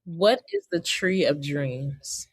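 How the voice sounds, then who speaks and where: A woman interviews in a casual, encouraging way. Her fairly low voice swings widely in pitch as she reacts and asks questions. It sounds close and dry, as on a podcast. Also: sing-song, slow pace, slightly rough, dry recording. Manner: encouraging, reacting with short exclamations.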